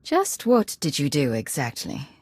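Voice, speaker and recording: A woman's voice: deep voice, wildly swooping pitch, a little slow, a little mellow, husky, no echo, heavily acted. A woman voicing a serious commander in a video game, terse, skeptical and no-nonsense. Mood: questioning, firm. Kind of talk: video game cutscene acting.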